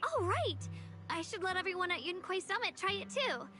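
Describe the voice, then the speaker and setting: An actress playing a sweet, earnest young woman who loves baking in a video game, gentle and eager to please. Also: very high-pitched, sing-song, moderate pace. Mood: cheerful, thoughtful. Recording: guitar music playing behind the voice.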